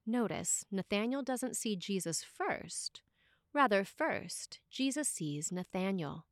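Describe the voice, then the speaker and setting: Lady priest reading out a sermon, deliberate w/ big pauses, really dry sound no room. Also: mid-range voice, expressive, no echo.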